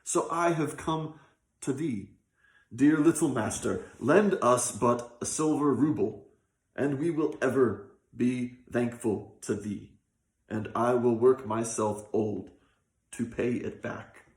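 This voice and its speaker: A man's voice: medium pitch, slow. A man voicing a poor, humble peasant who pleads in archaic, deferential speech for a folk tale. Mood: pleading, humble. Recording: roomy, echoing sound.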